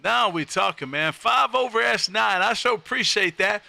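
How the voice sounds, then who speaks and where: High-pitched, bright-voiced loud radio host guy. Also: lively intonation, moderate pace, creaky, no echo, clean studio sound. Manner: loud, folksy showman. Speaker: amateur radio operator and livestream host. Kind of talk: casual radio contact chat. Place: home radio room.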